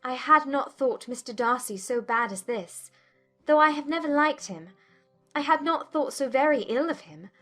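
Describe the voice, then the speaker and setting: An actress playing a spirited, indignant young gentlewoman in a dramatized audiobook, with emphatic, formal period speech. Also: high voice, expressive, moderate pace, soft background music. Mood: troubled, indignant. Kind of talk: dramatized audiobook character acting.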